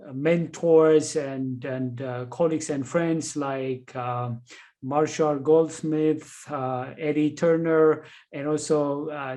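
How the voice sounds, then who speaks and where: A man from Ethiopia with an Ethiopian accent, speaking as a guest in an interview and explaining his background in an earnest, deliberate way. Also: a little high, darkish tone. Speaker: guest, leadership coach and author. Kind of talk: interview answers.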